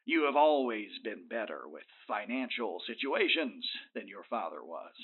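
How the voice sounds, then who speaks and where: A man playing a scheming, smooth-talking older tycoon in a comedic audio drama, with an unctuous, drawn-out emphatic delivery. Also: on the low side, sing-song, exaggerated voice. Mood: smooth, persuasive. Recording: thin, phone-like sound.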